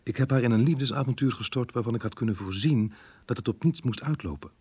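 An actor playing a melancholy married man reading his diary in a radio drama, earnest, reflective and measured. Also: medium pitch, a little mellow, husky, no room sound, natural voice. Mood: guilty, resigned. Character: married man, melancholy diarist torn by guilt over lost love.

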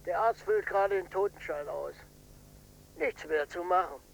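An older man playing a stubborn, defensive widowed father in a radio drama, blunt and colloquial, with a gravelly, husky voice. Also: high voice, normal speed, poor audio. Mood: matter-of-fact. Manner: blunt, colloquial, swinging between offhand and touchy. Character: gruff widowed old father, stubborn, defensive, matter-of-fact.

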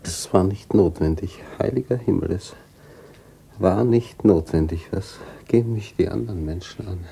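An actor playing a bereaved, broken husband in a radio play, murmuring haltingly and repeating himself in bitter bewilderment. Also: male voice, low voice, creaky, dark tone, breathy edge, heavily acted. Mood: bewildered grief. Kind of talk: German radio play acting.

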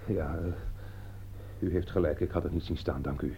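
A man's voice: sits low, normal speed, noisy background. A man acting in a Dutch radio play as a nervous, baffled and indignant friend, hesitant and stammering. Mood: nervous, flustered. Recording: dull lo-fi radio play recording.

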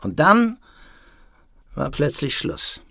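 An actor playing a self-justifying ex-top manager in a radio play, speaking in a self-assured, deliberate way. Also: male voice, fairly high pitch, very animated, vocal fry, fairly bright tone, dry recording, studio quality, full character voice. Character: self-justifying male ex-top manager, bank board chairman. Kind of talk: radio play, interview-like statement.

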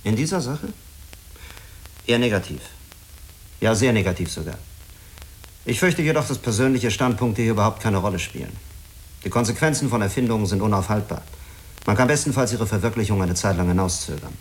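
A man's voice: fairly low pitch, expressive, a little fast, pauses often. A man playing a blunt, wary spaceship commander in a German radio drama, direct and reserved in his manner.

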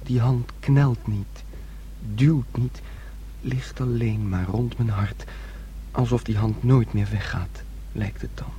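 An actor plays a naive nineteen-year-old in a radio drama. He sounds childlike and earnest, with mid-pitched speech and no guile at all. The old recording has a faint hum. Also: steady tone, takes it slowly, warm tone, natural voice. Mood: tender, earnest.

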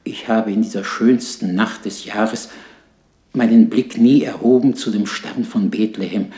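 A tormented male ghost in an old radio play. He speaks very slowly in a lamenting, solemn warning. The low voice slips toward whispering and rings in heavy echo. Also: dark tone. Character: tormented ghost of a dead miser, remorseful, warning. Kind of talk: 1960s German radio drama acting. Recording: echoey 1960s radio play recording.

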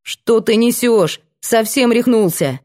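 A woman's voice: fairly high pitch, lively intonation, slow, a little mellow, pitched above the performer's own voice. Woman acting a proud, defiant young female student. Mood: angry, defiant.

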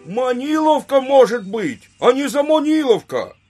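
A man's voice: very high voice, very animated, unhurried, darkish tone, faint music behind, full character voice. An actor playing a muddled, pedantic Russian peasant in an audio drama, rambling and repeating himself as he explains. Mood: pedantic, explaining. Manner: rambling, repetitive, self-correcting. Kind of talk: theatrical audio drama acting.

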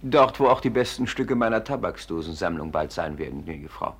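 An irritable English gentleman in a vintage 1950s radio drama. His voice is low and rough, swinging from stiff, formal courtesy to dry sarcasm. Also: animated, fairly quick, exaggerated voice. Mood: dry, sarcastic. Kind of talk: 1950s German radio play acting.

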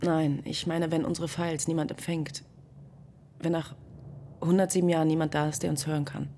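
A woman playing a lonely astronaut drifting off to sleep, talking softly and half-whispering in a searching way. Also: low-pitched, flat delivery, a little fast, slight background noise. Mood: anxious. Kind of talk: German radio drama acting.

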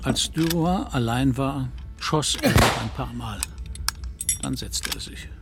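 Guy narrating a radio play, gravelly creaky voice, storytelling style, music clearly behind him. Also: medium pitch, takes it slowly. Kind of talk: scripted literary narration in a German radio play.

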